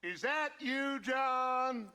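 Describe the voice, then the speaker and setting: A man's voice: very high voice, extremely slow, bright, forceful tone, clean tone, heavily acted. A man playing a menacing Western gang leader in a video game, theatrical and taunting like an orator. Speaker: actor in a video game cutscene.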